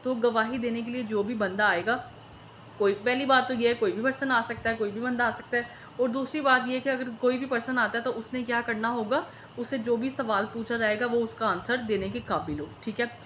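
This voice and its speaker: A woman's voice: on the high side, fairly even intonation, normal speed, fairly bright tone, a little hiss or hum. A woman with a Pakistani accent giving an informal law lecture, switching between Urdu and English terms as she explains. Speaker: law teacher.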